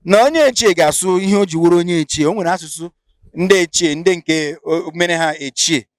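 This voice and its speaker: Nigerian man with an Igbo accent as a lively field interviewer, slightly high voice, dry sound. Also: a little fast, no echo. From Imo State, Nigeria. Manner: lively, switching between Igbo and Pidgin. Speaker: interviewer and show host.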